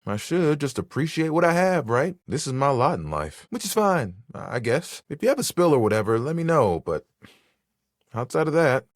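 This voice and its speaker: A man playing an easygoing, proud and sentimental living towel in a video game, with a husky, expressive and earnest delivery. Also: a little high, moderate pace, no room sound, clean studio sound. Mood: resigned. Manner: laid-back, expressive, earnest, playfully boastful. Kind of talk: video game character dialogue.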